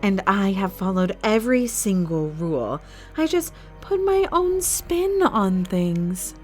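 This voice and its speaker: A woman's voice: mid-range voice, expressive, takes it slowly, a little mellow. A woman acting as a calculating, ruthless fight-arena master in an audio drama, speaking in a formal, clipped, authoritative way. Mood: defensive, controlled. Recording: music bed under the voice.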